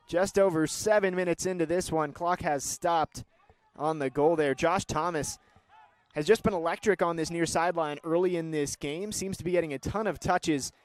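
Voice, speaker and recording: A young man calling live sports play-by-play, moving between measured description and excited calls. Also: high voice. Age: young adult.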